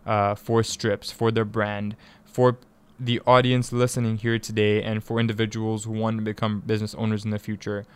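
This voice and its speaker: A man's voice: lowish voice, clear, resonant tone. A man from East Africa hosting a conversational radio interview, effusive and given to repeating himself for emphasis. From East Africa (Tanzania and Kenya). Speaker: radio show host and interviewer. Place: radio studio.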